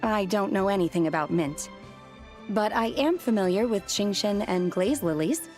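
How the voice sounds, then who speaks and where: A woman acts a reserved young woman in a fantasy game. Her mid-pitched voice is composed and matter-of-fact, with measured, polite phrasing over faint music. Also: lively intonation, a little mellow, close to an everyday voice. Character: calm, reserved, literal-minded young woman restaurant worker. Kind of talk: English video game voice acting.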